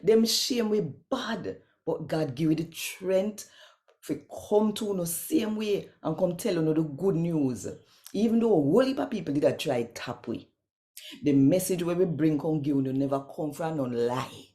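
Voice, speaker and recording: A woman with a Jamaican accent reading scripture expressively in Patois, with deliberate, emphatic, storytelling phrasing. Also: low-pitched, animated, warm tone. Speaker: scripture reader.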